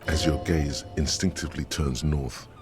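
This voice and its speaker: A man voicing the ominous inner voice of a detective's psyche, narrating slowly in a video game. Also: a bass voice, fairly even intonation. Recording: music playing under the voice.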